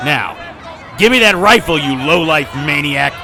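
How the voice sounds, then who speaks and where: An actor playing a wisecracking, brave 1940s detective in an audio drama, sardonic and forceful. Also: male voice, on the high side, animated, measured pace, slight background noise, heavily acted. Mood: angry, forceful. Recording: crowd din and music behind.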